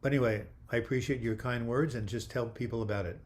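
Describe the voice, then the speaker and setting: An older man hosting a live video chat, reading viewer comments aloud and replying in a friendly, halting way with frequent restarts. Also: mid-range voice, steady tone, slight background noise. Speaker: livestream host answering viewer comments.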